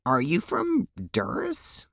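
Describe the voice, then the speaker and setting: A woman's voice: lowish voice, wildly swooping pitch, takes it slowly, gravelly, fairly bright tone, no room sound, studio quality, full character voice. A woman playing a polite, awkward young witch girl in an audio drama, with a hesitant manner. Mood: curious.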